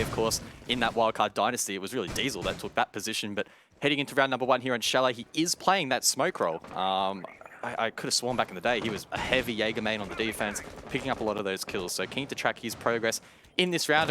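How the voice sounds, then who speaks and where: A man's voice: on the low side, background noise. A man casting an esports match, rattling off stats and player history in detail. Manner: detailed, stat-heavy, rattles off facts. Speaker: esports caster. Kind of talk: play-by-play commentary.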